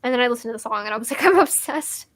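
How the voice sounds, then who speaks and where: A woman's voice: a little high, expressive, very fast, fairly bright tone, dry recording, studio quality. A young woman co-hosting a casual music review chat, enthusiastic and gushing, talking with lots of likes and restarts while losing her voice.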